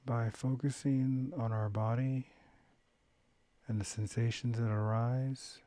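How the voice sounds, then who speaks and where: A man leading a guided meditation, giving gentle, unhurried instructions with long pauses between phrases. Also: medium pitch, fairly even intonation, no room sound. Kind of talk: guided body-scan meditation, calm instruction.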